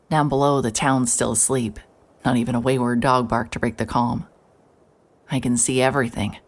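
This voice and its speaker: A woman playing a hard-hearted, sleep-deprived private investigator, wry and terse, narrating a noir audio drama in the first person. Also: rumbling low. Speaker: narrator and lead character.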